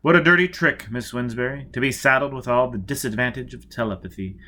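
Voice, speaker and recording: A man voicing a courteous young intelligence officer in an audiobook, gentle, adroit and reassuring. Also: mid-range voice, brisk, lightly acted. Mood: soothing sympathy.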